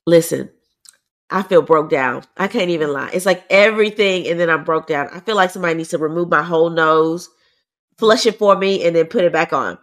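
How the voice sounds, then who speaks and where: A woman's voice: fairly low pitch, fairly quick. A woman with a stuffy, congested voice, co-hosting a casual podcast chat and explaining things plainly. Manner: animated, explains medical terms plainly. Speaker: podcast co-host.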